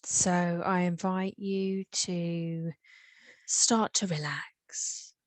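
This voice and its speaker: A woman with an English accent leading a guided meditation, soothing and unhurried, with long pauses. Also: lowish voice, steady tone, no room sound.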